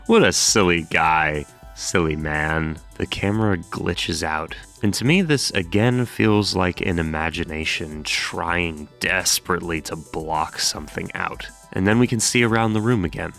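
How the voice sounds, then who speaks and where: A man's voice: sits low, gravelly, bright, forceful tone. A man hosting a horror explainer, mixing scripted narration with casual, wry commentary and jokey, sweary asides. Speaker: host of horror series explainer videos.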